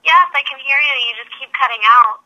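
A woman's voice: sits high, a little fast, bright, forceful tone. A woman on a phone call, talking casually in a blunt, businesslike way. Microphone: telephone.

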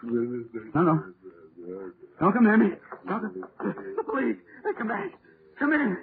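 An actor playing a panicked radio horror writer, hoarse and pleading, in 1930s radio style. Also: male voice, sits high, wildly swooping pitch, a little slow, a crowd in the background, full character voice. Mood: terrified. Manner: frantic, pleading, repeats himself. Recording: muffled, tinny old radio recording.

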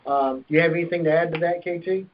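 A man's voice: fairly high pitch, steady tone, fast, noisy background. Man hosting over a dull phone line. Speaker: conference call host. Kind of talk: explanatory business call talk. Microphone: telephone. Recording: dull, band-limited conference phone line.

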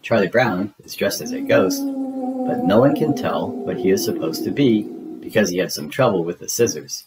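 A man narrating a children's storybook in an expressive, deliberate storytelling manner. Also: lowish voice, very noisy. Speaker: read-aloud narrator. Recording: music behind the voice. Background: music.

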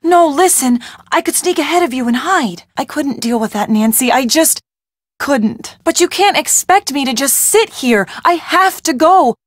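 A woman's voice: high-pitched, animated, moderate pace, no echo, studio quality. An actress playing a spirited, earnest young woman in a video game, a loyal and anxious friend who is wry and plucky. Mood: pleading, worried.

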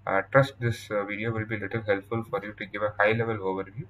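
A man with an Indian accent presenting a software tutorial in a halting, explanatory way over slides. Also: lowish voice, level tone, muffled recording. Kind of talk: informal scripted-outline tutorial narration over slides.

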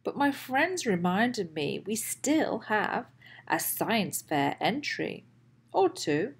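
A woman reading a children's storybook aloud in an expressive, deliberate way with emphatic phrasing. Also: mid-range voice, creaky, slightly rough. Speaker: host and children's storybook reader.

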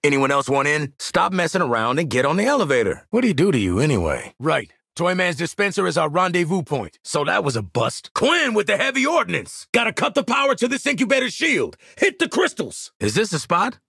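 A tough video game mercenary sniper, with a forceful, gravelly voice that sits fairly high and swings widely in pitch. He talks with cocky, streetwise swagger and wry, slangy profanity. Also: sing-song, brisk, hardly any pauses, no room sound, clean studio sound, full character voice. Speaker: game character voice actor. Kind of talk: short video game combat and banter lines.

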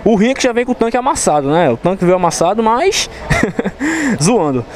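A young man from Recife with a Pernambuco accent, hyping motorcycles in a slangy, excited vlog from a showroom on an action camera. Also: high-pitched, a little fast. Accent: Pernambuco Brazilian Portuguese. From Recife, Brazil. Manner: excited, slangy, hype-driven. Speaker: motorcycle vlogger. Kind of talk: casual slangy vlog chat. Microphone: chest-mounted action camera.

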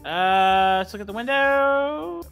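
A male gamer with a bright, forceful voice and swooping pitch banters casually during play. He is excitable and repeats himself urgently over faint music. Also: slow pace, gravelly. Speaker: gamer in a group video.

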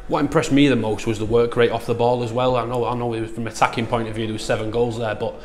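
A man's voice: mid-range voice, level tone, fast. A man, a club TV interviewer asking chatty post-match questions that often end in tag questions.

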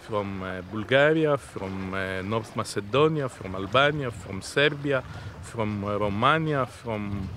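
A man in his fifties answering interview questions in a halting, deliberate, unscripted way. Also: lowish voice, animated, fairly bright tone. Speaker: interviewee, innovation zone representative. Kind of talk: spoken interview, unscripted explanation. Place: outdoors on a sea beach. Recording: outdoor seaside background noise.

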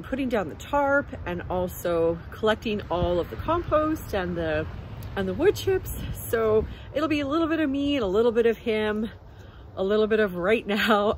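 A woman's voice: medium pitch, slight background noise, low-quality recording. A woman in her thirties outdoors in a yard, casually introducing a garden vlog in a chatty way. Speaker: garden vlogger host.

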